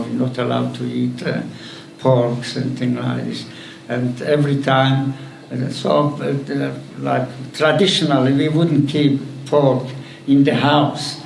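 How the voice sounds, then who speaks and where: A man, speaking to an audience in a halting way with many pauses. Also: medium pitch, gravelly, slight background noise. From Slovakia. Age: 80s. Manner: halting, with frequent pauses and fillers. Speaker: guest speaker. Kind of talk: public talk answering questions. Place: public event room. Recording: echoey hall from far off.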